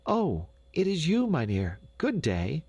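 A man voicing a fussy, warm and eager peasant mother in a storybook, with homely old-fashioned speech. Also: fairly high pitch, wildly swooping pitch, slow pace, slightly rough, dry recording, dull audio, pitched above the performer's own voice, heavily acted. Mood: flustered.

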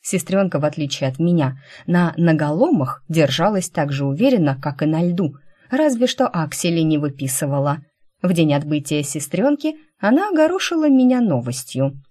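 A woman narrating a first-person fantasy novel as an audiobook, in an expressive scripted reading style. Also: deep voice, moderate pace, breathy edge.

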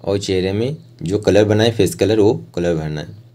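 An Indian man teaches in a low-pitched voice with a dark, relaxed tone. He narrates each step informally, pausing between short phrases as he goes. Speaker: rangoli art tutor.

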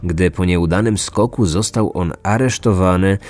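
A man's voice: deep voice, moderate pace, darkish tone, faint music behind. A man delivering scripted true crime documentary narration as a podcast narrator.

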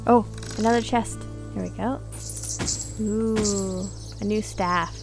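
A woman commentates on a video game in a casual, chatty way. Her medium-pitched voice has a noticeable creak, and she thinks out loud as she goes. Music plays behind her. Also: fairly even intonation, takes it slowly.

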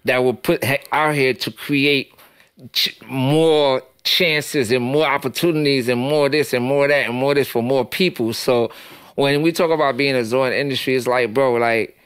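A man's voice: medium pitch, moderate pace, a bit bright, smooth, no echo. A man from Haiti, a guest in a small podcast studio, talking on a studio mic in a passionate, profane, preachy way. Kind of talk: casual interview talk. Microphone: studio mic on boom.

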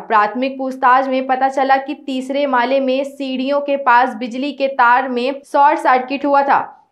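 A young woman news anchor reading a scripted report in a steady, formal way from a studio. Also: high voice.

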